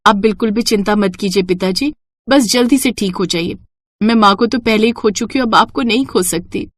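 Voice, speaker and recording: A woman's voice: medium pitch, moderate pace, no echo, clean studio sound, close to an everyday voice. A woman voicing a dutiful, worried young village daughter in an earnest, respectful cartoon story voice. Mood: worried, caring.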